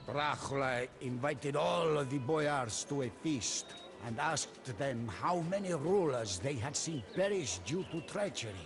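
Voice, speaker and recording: Male narrator, mid-pitched, unhurried, solemn, dramatic storytelling, music behind. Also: lively intonation. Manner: measured, solemn, theatrical. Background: prominent music.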